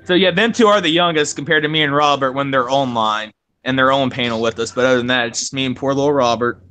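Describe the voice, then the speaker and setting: A man's voice: mid-range voice, quick pace, bright, forceful tone, slight background noise. A young man co-hosting a live stream over a video call, chatting casually, joking and swearing freely. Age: young adult. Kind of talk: casual chat.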